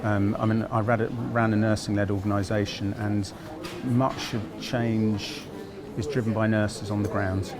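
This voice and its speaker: A man speaking at an event about health technology, measured and making his case with figures. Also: fairly low pitch, little pitch movement. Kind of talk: panel interview at an event. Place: conference event. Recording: busy crowd noise behind.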